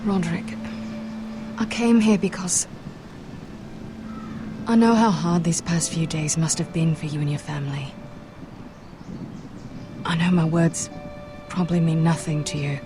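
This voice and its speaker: Earnest, lower-pitched young noblewoman. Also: fairly even intonation, noisy background. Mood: regretful. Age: young adult. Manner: measured, gentle, careful. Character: earnest, compassionate young noblewoman from a rival house. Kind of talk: dramatic video game acting. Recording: music behind the voice.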